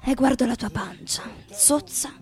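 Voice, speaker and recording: Higher-voiced actress as a frank, fond lover. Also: female voice, a little slow, vocal fry, darkish tone. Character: frank woman fondly cataloguing her slovenly lover's squalor. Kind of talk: radio theatre monologue. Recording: faint music underneath.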